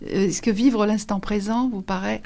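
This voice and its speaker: A woman hosts a radio interview in a chatty, engaged way. Her voice sits mid-range, and she guides the talk with leading questions and quick affirmations. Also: mid-range voice, fairly even intonation, gravelly, a little mellow.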